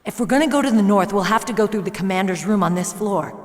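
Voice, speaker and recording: A woman's voice: mid-range voice, quick pace, slight background noise, natural voice. Woman playing an earnest young soldier, echoing hall. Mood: firm, matter-of-fact. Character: young female soldier, earnest, self-doubting yet determined. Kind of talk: video game voice acting. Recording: long hall reverb.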